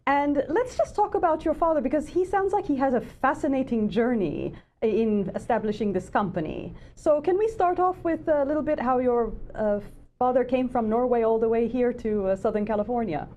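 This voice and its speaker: A woman's voice: fairly high pitch, normal speed, warm tone, slight background noise. A woman hosting an interview, asking polite and friendly questions. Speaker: host.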